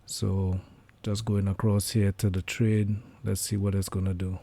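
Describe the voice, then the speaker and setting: A man with a hoarse voice giving casual live commentary on his currency trades, thinking aloud with many fillers. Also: deep voice, flat delivery, unhurried, mellow. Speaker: trading livestreamer.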